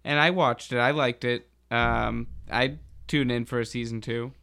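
A man chatting casually as a podcast host, with a halting, slangy delivery. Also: medium pitch, fairly even intonation, vocal fry, bright, forceful tone, smooth. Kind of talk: casual chat about a TV show.

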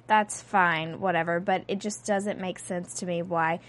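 A woman's voice: lowish voice, fairly even intonation, unhurried. A woman co-hosting a podcast, musing casually about a TV show. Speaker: podcast co-host.

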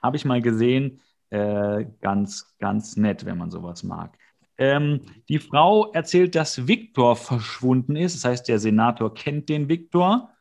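A man's voice: medium pitch, expressive, unhurried, vocal fry, darkish tone. A man co-hosting a podcast, giving a casual, jokey recap with wry asides and bits of mimicry. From Hesse, Germany. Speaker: podcast co-host. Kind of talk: casual comedic episode recap.

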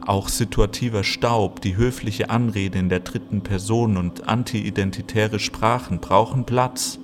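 Slow, low-pitched male literary narrator. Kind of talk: scripted literary reading.

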